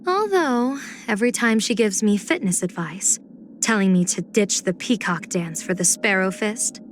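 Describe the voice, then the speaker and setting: A woman playing a playful, scheming, poised general and diviner in a video game, speaking in measured, teasing, elegant phrases. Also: medium pitch, animated, a little hiss or hum, natural voice. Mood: wry, composed. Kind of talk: video game character voice acting.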